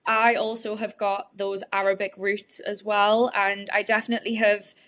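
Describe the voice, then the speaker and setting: A woman's voice: mid-range voice, flat delivery, normal speed, fairly bright tone. A woman in her late twenties from Newcastle, speaking with a northeast English Geordie accent, answering interview questions in a chatty, open way. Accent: Geordie (northeast England). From Newcastle, northeast England. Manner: chatty and open, explains at length. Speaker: guest, bodybuilding competitor.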